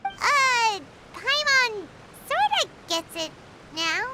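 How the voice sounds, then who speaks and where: A woman voicing a tiny, childlike fairy companion in a video game, with a squeaky voice and a chirpy, inquisitive, blunt manner. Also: very animated, drawn-out words, heavy background noise, heavily acted. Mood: curious, puzzled. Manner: refers to self in third person, exclaiming, inquisitive. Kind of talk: video game character dialogue. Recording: game dialogue over loud music.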